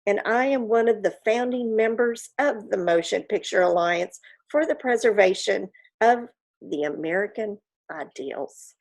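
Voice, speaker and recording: A woman's voice: medium pitch, unhurried. A woman playing a proud, gossipy 1940s Hollywood stage mother in a theatrical monologue, chatty and self-satisfied, with confiding asides. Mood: self-righteous. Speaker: actor in a staged reading.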